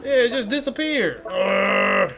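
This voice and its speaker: A man with a high, animated voice gives casual gaming commentary. His talk is slangy and profane, with game music clearly behind it. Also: slow. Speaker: gamer playing through a story quest.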